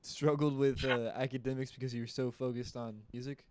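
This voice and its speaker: A man's voice: mid-range voice, flat delivery, fairly quick, a crowd in the background. A man asking casual interview questions from off the mic.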